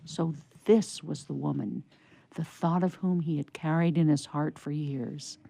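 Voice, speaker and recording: A woman narrating a scripted story for radio theatre in a measured storytelling manner. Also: low voice, fairly even intonation, vocal fry, mellow, no echo.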